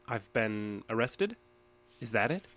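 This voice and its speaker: Guarded, terse man with lively intonation. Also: on the low side, slow, noisy background. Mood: confused, wary. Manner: terse, insistent, evasive. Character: guarded, stubborn male diner assistant manager under questioning. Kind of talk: audio drama acting.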